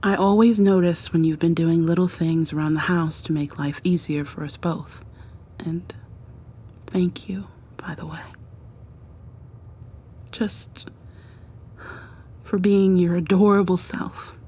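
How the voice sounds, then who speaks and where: A woman playing an affectionate, playfully dominant girlfriend in an intimate ASMR roleplay, murmuring softly and breathily to the listener, often sliding into whispers. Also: steady tone, takes it slowly, pauses often, breathy edge. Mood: tender, doting. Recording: audible background hiss with low rumble.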